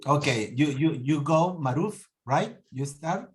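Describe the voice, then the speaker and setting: A man from Peru hosts an online meeting in a friendly, joking way. His voice is fairly high, and he tends to repeat words. The sound has the feel of a video call. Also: expressive, a little slow, faint music behind. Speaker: meeting host. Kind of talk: casual online meeting hosting.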